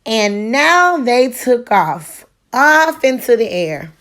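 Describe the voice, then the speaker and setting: Slow-paced woman reading a children's storybook. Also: on the high side. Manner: deliberate, expressive storytelling. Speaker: teacher reading aloud. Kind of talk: expressive children's picture book read-aloud.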